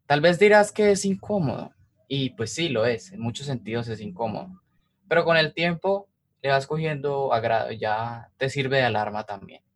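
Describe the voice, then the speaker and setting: A man's voice: mid-range voice, normal speed. A man with a Colombian accent from Cali, hosting and narrating an informal podcast. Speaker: podcast host.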